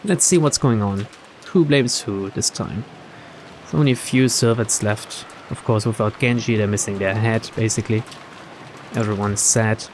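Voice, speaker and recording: A man's voice: lowish voice, moderate pace, a little hiss or hum. A man hosting a let's-play, reading game text aloud and commenting casually. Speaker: gameplay host.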